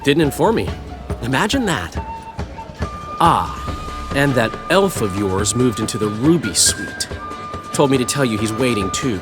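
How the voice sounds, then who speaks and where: An actor playing a flamboyant, chatty male bard and innkeeper in a video game, theatrical and expressive. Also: medium pitch, a little slow, long pauses, over music. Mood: exasperated, wry. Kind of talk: dramatic video game acting.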